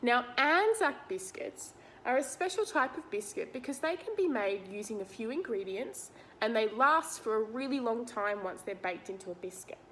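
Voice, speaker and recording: An Australian woman with a slightly high voice reads a picture book aloud to young children. She is expressive and speaks straight to her listeners, explaining things simply. There is faint noise in the recording. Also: moderate pace, rough recording. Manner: expressive, questioning, addresses young listeners directly.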